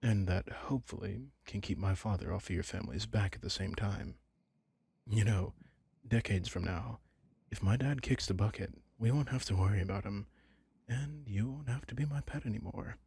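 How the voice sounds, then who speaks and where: A man playing a soft-hearted but possessive young mafia heir in an intimate ASMR roleplay, speaking gently and haltingly in a husky, breathy voice. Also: fairly low pitch, full character voice. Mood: earnest, gently commanding. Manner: halting, gentle, apologetic, slipping into whispers. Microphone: close, dry microphone.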